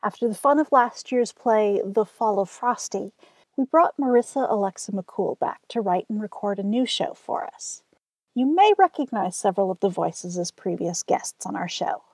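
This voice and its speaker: A woman's voice: medium pitch, expressive, normal speed, a little mellow, husky, no room sound, low-quality recording. A woman hosting a radio show, reading a scripted introduction.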